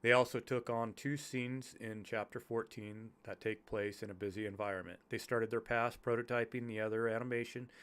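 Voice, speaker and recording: A man's voice: mid-range voice, steady tone, a bit bright, clear, resonant tone. A sick, congested man with a nasal voice reads a technical development report aloud, stumbling and restarting over jargon as he goes. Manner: halting reading with stumbles over technical terms. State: congested from illness. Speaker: YouTuber reading game development notes.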